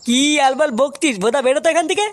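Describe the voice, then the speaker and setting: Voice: sits high, rapid-fire, no room sound, lightly acted. A performer voicing a hot-tempered, foul-mouthed young male club leader in a comic village cartoon, bossy and scolding. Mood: urgent. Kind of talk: crude comic cartoon dub.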